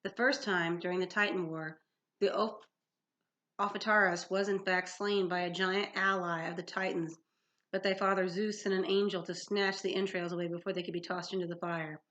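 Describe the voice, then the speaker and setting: A woman's voice: fairly low pitch, fairly even intonation, moderate pace, a bit bright, close to an everyday voice. Woman as a solemn immortal huntress. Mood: grave. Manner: archaic, formal, solemn. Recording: dull lo-fi sound.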